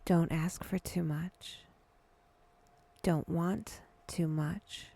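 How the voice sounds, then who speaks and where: A woman guiding a meditation in a hushed voice that drifts toward a whisper, speaking deliberately in short phrases with long pauses. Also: fairly low pitch, steady tone, creaky. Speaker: guided meditation host. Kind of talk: slow, breathy guided meditation narration.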